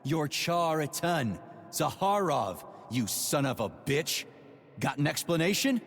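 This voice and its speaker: A man playing a gruff, foul-mouthed, hot-headed army major in a video game, with a blunt and sarcastic husky delivery. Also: on the high side, very animated, takes it slowly, big-room sound, full character voice. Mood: angry, sarcastic. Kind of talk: video game cutscene acting.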